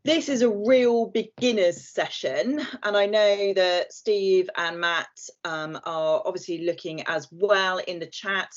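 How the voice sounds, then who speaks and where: A woman's voice: fairly low pitch, slow pace, few pauses. A woman from southern England giving a friendly, chatty presentation on a video call, with some fillers. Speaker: guest speaker and company head. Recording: muffled video call audio.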